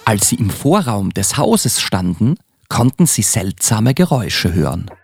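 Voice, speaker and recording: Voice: medium pitch, big pitch swings, unhurried, vocal fry, husky, dry recording, studio quality. A narrator reading scripted storybook narration for a Christmas radio play.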